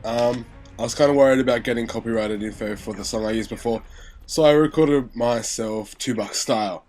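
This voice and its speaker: A man's voice: mid-range voice, brisk, darkish tone, soft background music. Young man rambling, nose still stuffy. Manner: rambling, lots of fillers and restarts. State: stuffy nose, sore voice after illness. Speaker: gaming commentator. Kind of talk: casual game commentary.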